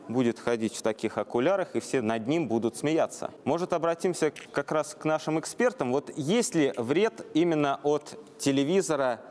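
A man's voice: a little high, animated, moderate pace, hardly any pauses, a little mellow. A man in his thirties presenting as co-host of a studio talk show, putting questions to guests and audience. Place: TV studio, standing.

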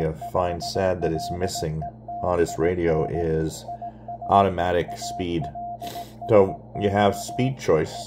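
A man giving a casual hobbyist demonstration in a halting way with frequent pauses. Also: deep voice. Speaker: radio hobbyist presenter. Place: indoors beside a radio transceiver. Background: Morse code tones from a radio.

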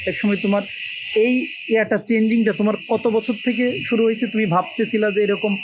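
A man's voice: high-pitched, level tone, fast talker, noisy background. A man with a Bangladeshi Bengali accent hosting an on-location interview, asking informal, probing questions. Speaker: interviewer and channel host. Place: on location in a village. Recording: crowd chatter behind the voice.

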